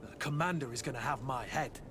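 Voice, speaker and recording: A man's voice: medium pitch, a little fast, noisy background, lightly acted. An actor playing a blunt, grumbling male soldier and loyal bodyguard in a video game. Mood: exasperated. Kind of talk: video game cutscene acting. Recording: music playing behind the voice.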